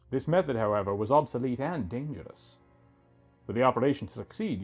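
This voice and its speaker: Man, scripted story narration, husky voice, animated intonation, music underneath. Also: medium pitch.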